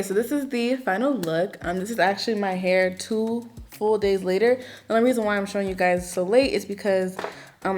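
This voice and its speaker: A woman in her twenties giving a casual, chatty hair tutorial, her voice a little raspy from just waking up, with lots of filler words. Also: medium pitch. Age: 20s. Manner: relaxed, chatty, lots of filler likes and ums. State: slightly raspy morning voice.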